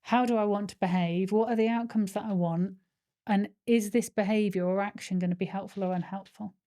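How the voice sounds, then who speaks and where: Passionate woman, mellow voice. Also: mid-range voice, fairly even intonation, brisk. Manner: passionate, ranting. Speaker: podcast co-host, hypnotherapist. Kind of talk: casual chat.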